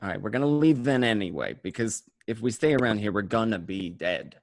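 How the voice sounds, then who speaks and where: A low male voice of an actor plays a weary, anxious mobster on the run. The sound is dry, and he slips between confessional narration and tense radio-play dialogue. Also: animated, fairly quick, dry recording. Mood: bitter, tense. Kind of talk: amateur online radio play acting.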